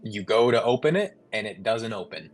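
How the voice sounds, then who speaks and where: A man narrating a tabletop game casually as game master, often pausing to search for his words. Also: lowish voice, lively intonation. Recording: faint music underneath. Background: faint music.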